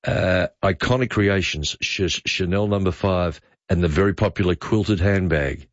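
A man's voice: deep voice, measured pace, dry recording, muffled recording. A man hosting talk radio, bantering off the cuff with dry teasing and pointed questions. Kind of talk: unscripted banter with a caller.

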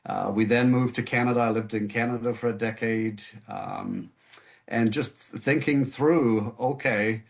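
A man's voice: mid-range voice, fairly even intonation, measured pace, creaky. A man from the United Kingdom, a guest author in an interview conversation, speaking thoughtfully with frequent fillers and restarts. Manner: hesitant, many restarts and fillers.

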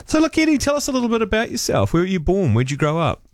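A man's voice: high voice, huge pitch swings, racing, no room sound. A man hosting a studio talk show, chatty and enthusiastic, asking rambling questions. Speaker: host. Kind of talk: casual radio talk. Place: radio studio.

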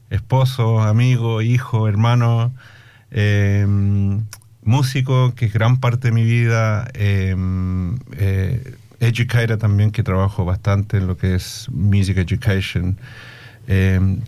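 A middle-aged man with a Chilean accent tinged with Australian English. His voice is dark, mellow and fairly low. He answers reflectively as an interviewee, often hesitating for the right word and mixing in English. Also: smooth. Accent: Chilean Spanish with Australian English influence. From Australia, Chilean immigrant family. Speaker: musician and music educator being interviewed.

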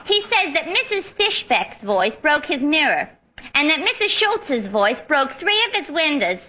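An actress playing a brash, gullible, vain would-be singer, earnestly oblivious, in old-time radio comedy style. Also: female voice, sits high, very animated, a little slow, bright, forceful tone, exaggerated voice. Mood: boastful. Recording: noisy old radio recording.

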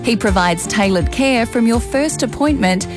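Woman doing a calm medical radio ad, slightly high voice, clear music under it. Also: normal speed. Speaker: advertisement voice-over. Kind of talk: scripted radio ad.

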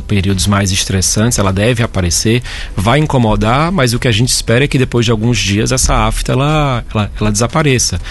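A man speaking as a doctor guest on a radio talk show, calmly explaining health questions. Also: fairly low pitch, a little hiss or hum. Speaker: head and neck doctor guest. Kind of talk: explaining medical topics on air.

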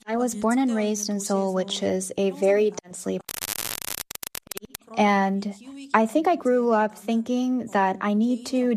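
A woman's voice: mid-range voice, a little mellow. A woman interpreting a guest's interview answers, speaking in the first person on their behalf.

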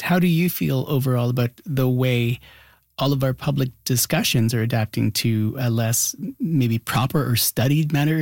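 A man's voice: medium pitch, darkish tone, dry recording. Curious radio host man, dry studio sound. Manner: enthusiastic, curious questions with fillers. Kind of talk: radio interview.

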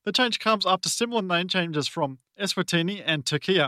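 A man with a bright, slightly high voice reads a scripted news introduction. His delivery is smooth and even, recorded close and dry with no room around it. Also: a little fast, no echo. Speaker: newsreader.

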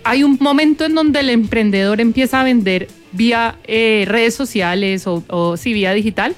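Bogotá-accented woman explaining calmly. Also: a little high, moderate pace, faint music behind. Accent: Colombian, Bogotá. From Colombia. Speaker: guest, corporate sustainability manager. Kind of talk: explanatory interview answers.

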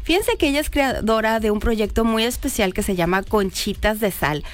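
A woman's voice: a little high, normal speed. A woman hosting a TV talk show, chatting with her guests and often checking in with "right?". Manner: warm affirming, frequent "perfecto" and "¿verdad?". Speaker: TV show host. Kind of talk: casual interview chat. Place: Baja California Sur, Mexico.

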